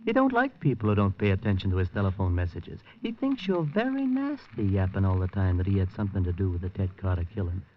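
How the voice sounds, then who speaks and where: An actor playing a menacing hired thug who mocks a reporter in a 1950s radio drama. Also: male voice, sits low, wildly swooping pitch, fast, few pauses. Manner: sneering, mocking. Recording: dull old radio broadcast with faint hiss.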